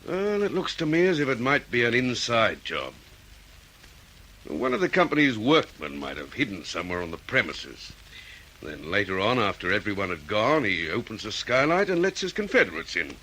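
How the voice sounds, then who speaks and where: Casting: methodical Australian detective, low pitched, lively intonation, deliberate questioning, hissy old recording. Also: male voice, rough recording. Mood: reasoning. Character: methodical, probing male police detective. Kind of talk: old radio detective drama acting.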